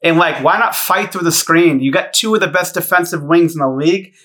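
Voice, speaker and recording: Man in his thirties, podcast co-host, sharp, bright voice, blunt, sweary rants. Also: fairly high pitch, a little fast. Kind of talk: profane sports podcast banter.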